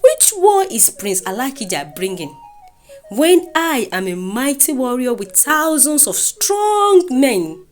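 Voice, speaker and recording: A woman's voice: sits high, very animated, takes it slowly, faint music behind, heavily acted. A woman voicing a brutal, boastful warrior king in a folktale, with a Nigerian accent and a pompous, commanding manner. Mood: indignant, commanding. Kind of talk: animated folktale storytelling.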